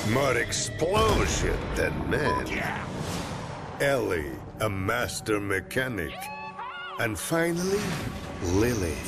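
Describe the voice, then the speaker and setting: An actor playing a theatrical, wry storyteller, narrating a video game storybook tale dramatically and deliberately. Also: male voice, lowish voice, full character voice. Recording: loud music behind. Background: music.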